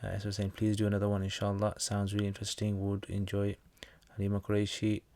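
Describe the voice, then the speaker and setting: A man's voice: fairly low pitch, completely flat delivery, fast talker, darkish tone. A man teaching and hosting a livestream, chatting casually with viewers in a jokey, rambling way with slangy asides. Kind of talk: casual livestream chat, answering viewer comments.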